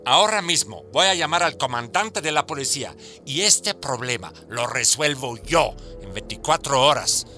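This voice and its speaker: A man's voice: mid-range voice, lively intonation, normal speed, pushed and bright, soft background music. An actor playing an arrogant, domineering male company manager in a radio drama. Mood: contemptuous. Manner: contemptuous, domineering.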